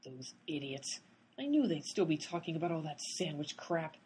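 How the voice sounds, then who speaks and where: A woman's voice: low-pitched, very animated, normal speed, a little mellow, slight background noise. A woman playing an exasperated, sarcastic private detective in a comedic radio play.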